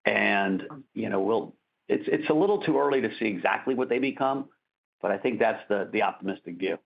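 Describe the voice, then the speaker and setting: Male executive, creaky and fairly low, informal delivery on an earnings call, muffled line. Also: expressive, a little fast. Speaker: company executive. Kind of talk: earnings call answer to analyst questions. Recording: dull conference-call audio.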